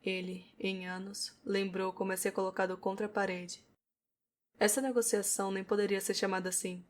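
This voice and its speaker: Even-toned Brazilian woman narrator. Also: mid-range voice, moderate pace. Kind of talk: scripted audio novel narration.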